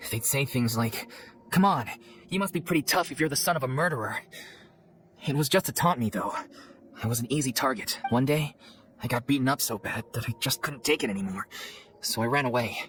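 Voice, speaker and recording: A man's voice: medium pitch, brisk, a bit bright, slight background noise, lightly acted. An actor playing a plain-spoken young man of a theatre troupe, a former orphan, in a video game. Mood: reflective, sad.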